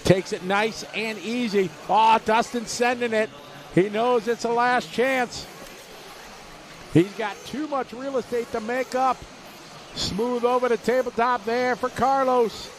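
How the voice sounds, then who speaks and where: A man calling a radio-controlled car race live, giving quick play-by-play and reading out viewer messages. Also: very high-pitched, fairly even intonation, slight background noise. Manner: rapid play-by-play, reads viewer chat aloud. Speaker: race commentator.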